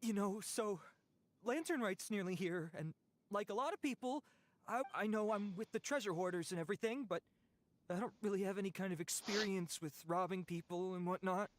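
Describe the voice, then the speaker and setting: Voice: medium pitch, a little fast, close to an everyday voice. A voice actor playing a nervous, cowardly young thief in a video game, stammering and defensive, with game music behind. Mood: pleading. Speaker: game character. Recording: game audio with background music.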